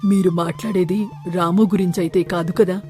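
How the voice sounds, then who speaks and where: A woman's voice: lowish voice, fairly even intonation, normal speed, warm tone, soft background music, pitched below the performer's own voice, natural voice. A woman voicing a principled male village doctor in a storybook tale, speaking in a pointed, reproving way. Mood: concerned. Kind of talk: storybook character acting.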